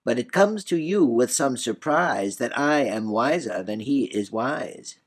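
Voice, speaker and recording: A man's voice: medium pitch, expressive, unhurried, voice pushed up. A man voicing a whimsical, boastful, riddling old man in a storybook reading, with proud, sing-song, muddled wordplay. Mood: sly, riddling. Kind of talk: storybook character voice in audiobook reading.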